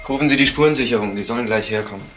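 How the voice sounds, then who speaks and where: An actor playing a keen, terse junior detective in a German crime radio play. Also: male voice, mid-range voice, rapid-fire, over music, close to an everyday voice. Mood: alert, terse.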